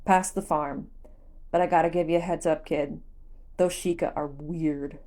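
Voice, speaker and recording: A woman's voice: low voice, fairly even intonation, a little slow, mellow. A woman voicing a friendly male village shopkeeper in a storybook reading, with a casual, folksy, advising manner. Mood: friendly, wry.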